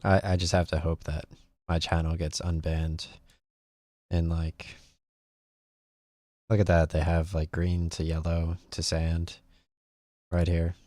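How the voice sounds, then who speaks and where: A man's voice: low voice, completely flat delivery, takes it slowly, pauses often, a little mellow, dry recording, studio quality. A man hosting a gaming video, giving casual gameplay commentary and thinking aloud in a rambling way.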